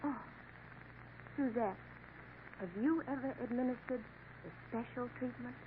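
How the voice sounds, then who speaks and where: Woman doing a shy naive eighteen-year-old, soft and unsure, tinny old radio sound with lots of hiss. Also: on the high side, measured pace, lightly acted. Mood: timid. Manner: hesitant, soft, questioning. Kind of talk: 1950s radio drama acting.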